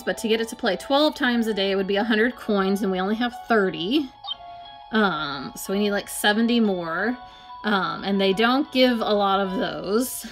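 Young adult woman, casual app reviewer, mid-pitched, halting filler-heavy delivery, faint background music. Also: a bit bright. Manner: hesitant, many ums and likes. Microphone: phone or camera filming a tablet screen.

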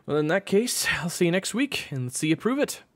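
A man voicing a gruff, sardonic male baseball coach with a brusque, casual drawl in an audiobook story. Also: high voice, big pitch swings, a little fast, a bit bright, voice pushed up, exaggerated voice. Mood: sardonic, challenging.

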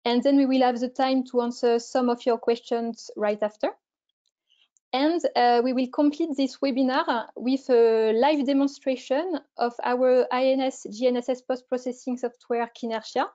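A woman's voice: sits high, fairly even intonation, normal speed, warm tone. A woman, an event manager opening a webinar, formal with frequent fillers. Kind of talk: scripted webinar introduction. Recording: muffled webinar audio.

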